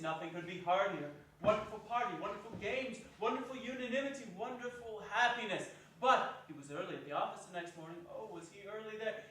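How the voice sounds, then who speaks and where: High-voiced male storyteller, very echoey hall. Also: a bit bright. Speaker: narrator. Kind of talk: dramatic stage reading of a classic story. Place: theatre or arts hall, live performance. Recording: echoey hall, distant microphone, faint noise.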